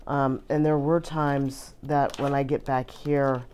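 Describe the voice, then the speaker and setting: A hoarse woman chatting casually through an unboxing, with many filler words. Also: very deep voice, little pitch movement, slow pace, a little mellow, slight background noise. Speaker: vlogger.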